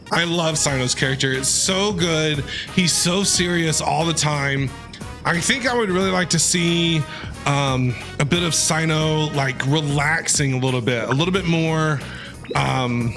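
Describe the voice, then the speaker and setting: A man giving casual, enthusiastic reaction commentary as he plays a game, often repeating himself. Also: a little high. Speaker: game streamer. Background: game music.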